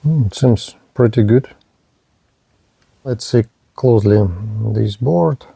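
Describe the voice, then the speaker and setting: Slow, careful man demoing a radio. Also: medium pitch, vocal fry, warm tone, husky, no room sound. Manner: halting, careful, with pauses and fillers. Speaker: radio reviewer. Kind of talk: slow hands-on product demo narration.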